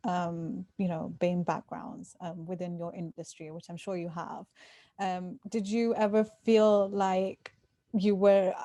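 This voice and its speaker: Woman, interviewer, careful, tentative, mellow voice, dry close sound. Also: lowish voice, level tone, measured pace, dry recording. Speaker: host. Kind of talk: interview questions.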